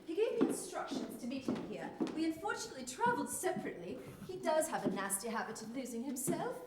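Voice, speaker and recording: Woman playing a prim, high-voiced English fiancée. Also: big pitch swings, quick pace, distant mic. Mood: polite, apologetic. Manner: formal, prim. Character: polite, indignant English fiancée, prim and bewildered. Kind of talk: dramatic acting. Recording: echoey room, off mic, noisy.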